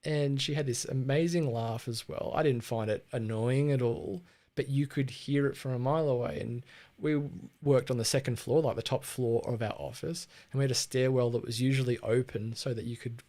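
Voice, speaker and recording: A man's voice: mid-range voice, steady tone, normal speed, dark tone. A man with an Australian accent hosting a casual livestream on branding, chatty and laid-back, with frequent ums and restarts. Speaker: livestream host teaching branding.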